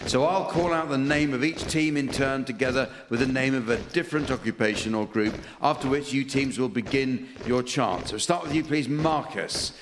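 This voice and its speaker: A man's voice: mid-range voice, moderate pace, few pauses. A man chairing a comedy panel show, delivering scripted comic links to a live audience. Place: theatre stage with live audience. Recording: echoey theatre, audible audience, noisy.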